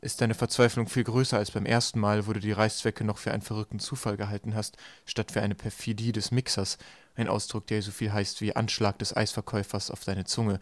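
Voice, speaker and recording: A man's voice: lowish voice, level tone, brisk, no echo. A man reading scripted storybook narration as an audiobook narrator.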